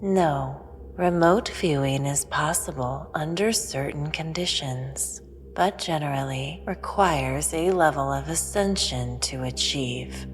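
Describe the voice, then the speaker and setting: An actress playing an enigmatic, all-knowing otherworldly visitor in a sci-fi audio drama, speaking in a calm, measured, aloof way. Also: female voice, deep voice, slight background noise. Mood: serene. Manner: measured, matter-of-fact. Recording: long reverb, music behind. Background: music.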